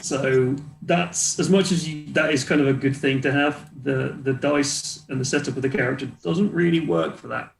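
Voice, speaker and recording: A man's voice: medium pitch, steady tone, normal speed, creaky, hardly any pauses, reverberant, soft background music, low-quality recording. A man speaking casually as game master, explaining rules and narrating scenes in a tabletop role-playing game.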